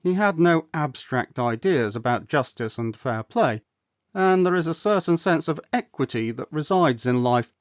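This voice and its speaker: Guy reading a classic story out loud, kinda higher voice, measured and dramatic, super dry sound no room. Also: no room sound. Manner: measured, deliberate phrasing with dramatic emphasis. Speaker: storyteller, audiobook reader. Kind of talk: scripted literary narration, storytelling.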